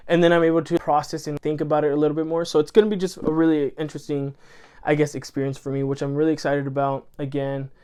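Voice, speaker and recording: A man's voice: on the high side, level tone, fast talker, warm tone, smooth. A man who grew up speaking Spanish, talking to camera in a rambling, earnest, effusive way and correcting himself as he goes. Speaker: channel host. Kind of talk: casual chat to camera.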